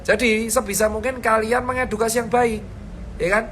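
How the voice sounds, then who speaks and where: A man's voice: high-pitched, flat delivery, moderate pace, fairly bright tone, slight background noise. A man talking casually to the camera, his blunt, fierce delivery sounding almost angry, sprinkling his advice with slangy calls of boss and bro. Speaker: vlogger giving health advice. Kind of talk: casual direct-to-camera talk.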